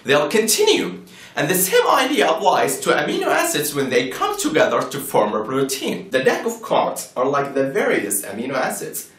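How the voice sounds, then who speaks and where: A man's voice: on the high side, fairly bright tone, distant mic. A man playing a composed, insistent believer in a scripted debate, explaining patiently through questions and reasoning. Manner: didactic, question-and-answer reasoning. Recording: roomy echo, off-mic.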